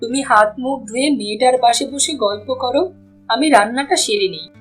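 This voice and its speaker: A woman's voice: on the high side, fairly quick, faint music behind, lightly acted. A woman voicing a tender, anxious village mother in a cartoon. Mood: surprised, caring. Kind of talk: Bengali cartoon voice acting.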